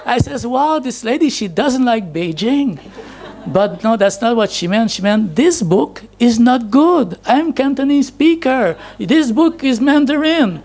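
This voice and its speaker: Gravelly-voiced man preaching at a pulpit. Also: extremely high pitch, lively intonation, measured pace, a little mellow. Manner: storytelling, chatty, laughs at himself. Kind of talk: anecdotal sermon. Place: church hall with projection screen. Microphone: handheld microphone.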